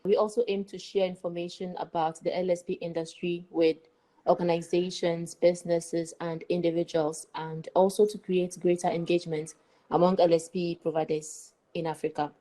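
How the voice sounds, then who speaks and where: A woman's voice: lowish voice, flat delivery, moderate pace, mellow. A woman presenting and interviewing as the host of a podcast. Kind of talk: scripted podcast introduction and interviewing.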